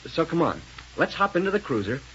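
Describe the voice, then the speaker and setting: A man playing a brisk, folksy space adventurer hero in a 1930s radio serial. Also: on the high side, big pitch swings, a bit bright. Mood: eager, explaining. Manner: folksy, decisive. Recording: muffled, noisy 1930s radio recording.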